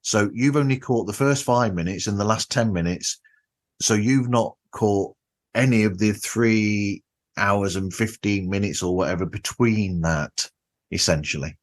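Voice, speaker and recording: A man's voice: on the low side, measured pace. A man hosting a podcast, chatting casually with his guest in an interview.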